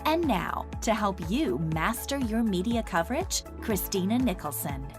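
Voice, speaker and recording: A woman announcer reading a scripted show intro in a lively, promotional way. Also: mid-range voice, normal speed. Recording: intro with music behind.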